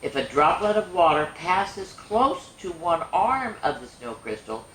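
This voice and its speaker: A woman reading a children's science book aloud as a storyteller, explaining to kids with expressive wonder and chatty asides. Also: lowish voice, measured pace. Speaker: storyteller and show host. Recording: echoey room with faint noise.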